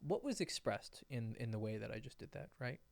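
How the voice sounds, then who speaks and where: A man giving casual dating advice, talking loosely and animatedly and acting out bits of example conversation, with a voice he calls fairly high-pitched. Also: sing-song, a little fast, gravelly. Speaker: dating coach. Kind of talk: casual advice monologue to camera. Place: indoors, sitting in a rocking chair.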